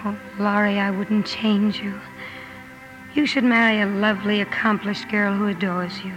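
A woman's voice: medium pitch, flat delivery, normal speed, over music, close to an everyday voice. An actress playing a headstrong, earnest young woman writer in a 1950s radio drama, with emphatic delivery. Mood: pained, earnest.

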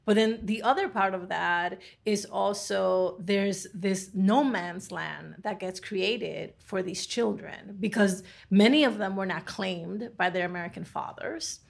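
A woman's voice: medium pitch, unhurried, vocal fry, hardly any pauses. A woman hosting a podcast, asking earnest, reflective questions of her guest. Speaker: podcast host. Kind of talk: podcast interview.

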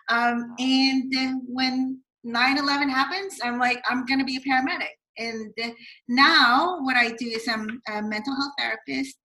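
A woman's voice: fairly high pitch, bright, forceful tone, poor audio. A woman in her thirties from the Philippines, a therapist chatting as a podcast guest, bubbly and laughing easily. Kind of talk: casual chat.

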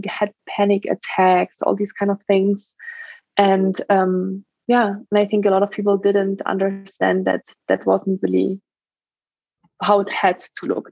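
A woman in her thirties speaks English with a German accent, her pitch held fairly flat. She sounds earnest and uses plenty of fillers. The recording is dry and a little dull. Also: creaky, dry recording. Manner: earnest, many fillers, occasional laugh. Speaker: guest, stress management trainer. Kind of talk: podcast interview.

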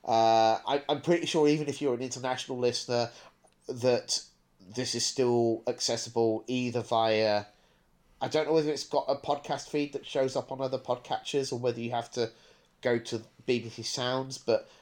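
Man, mid-pitched voice, podcast host, casual chat, discursive, thinking aloud. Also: fairly even intonation, moderate pace, darkish tone.

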